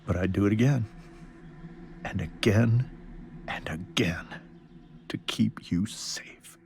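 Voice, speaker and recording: An actor playing a stern, protective middle-aged father in a video game drama, speaking in a grave, measured way with a husky, gravelly voice. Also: on the low side, a little mellow, a little hiss or hum. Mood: grave, pained.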